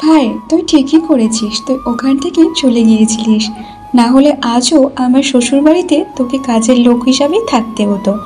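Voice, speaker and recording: Woman acting as loving worried cartoon elder sister, high pitch, mellow tone, music behind. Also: normal speed, close to an everyday voice. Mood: tender, relieved. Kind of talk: cartoon story dialogue.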